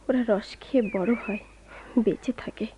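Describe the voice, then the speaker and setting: A woman's voice: mid-range voice, normal speed, dark tone, close to an everyday voice. An actress playing a sorrowful, anxious young woman in a radio drama, with a plaintive, dramatic delivery. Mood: melancholy. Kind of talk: Bengali radio drama acting. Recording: dull, noisy old radio drama recording.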